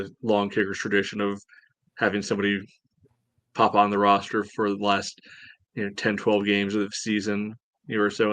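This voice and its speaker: A man's voice: fairly low pitch, a bit bright, no echo. A man co-hosting a soccer podcast, chatting through analysis in a loose, conversational way. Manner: conversational, peppered with "you know". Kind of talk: casual sports analysis chat.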